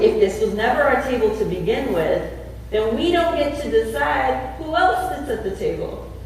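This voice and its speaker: A woman's voice: on the high side, darkish tone, slight background noise. Female preacher at a storytelling sermon, heard from far off in a very echoey church hall. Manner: storytelling with slowed emphasis. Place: church sanctuary.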